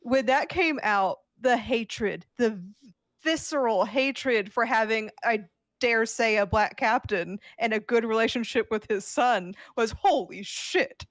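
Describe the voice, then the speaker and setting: A woman's voice: fairly high pitch, unhurried, no echo. A woman on a podcast panel, giving casual fan opinions in a blunt, emphatic way.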